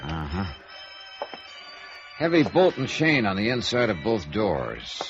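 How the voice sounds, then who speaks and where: Low-voiced, wry, animated radio noir detective. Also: male voice, takes it slowly. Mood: probing, wry. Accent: American. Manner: conversational, wry, inquisitive. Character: wry, probing middle-aged male freelance insurance investigator. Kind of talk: 1950s radio noir acting and narration. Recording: dull vintage radio recording, music behind.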